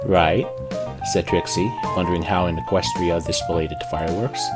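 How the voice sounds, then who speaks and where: A man's voice: low voice, steady tone, a little fast, over loud music. A man reading a story aloud as an amateur narrator. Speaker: amateur fan fiction reader. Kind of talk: scripted storybook narration with character dialogue.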